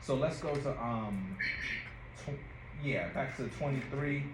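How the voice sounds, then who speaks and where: A man's voice: mid-range voice, takes it slowly. A man giving informal director's notes at a rehearsal, repeating phrases and demonstrating lines. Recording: roomy, faintly noisy audio.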